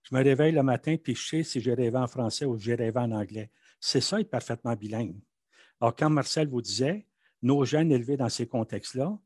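A man's voice: mid-range voice, darkish tone, no room sound, studio quality. A man from Manitoba with a Canadian French accent, answering interview questions in a frank, conversational, emphatic way.

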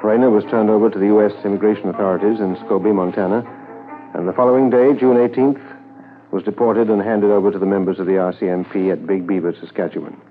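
An actor narrating a 1950s radio drama as a sober, reflective Mountie, measured and formal. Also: male voice, fairly low pitch, steady tone, phone-quality sound, faint music behind, close to an everyday voice. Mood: grave, factual.

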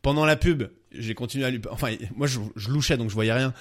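A man, a podcast guest, telling a funny anecdote in casual chat. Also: medium pitch. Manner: fast, animated anecdote telling with laughs.

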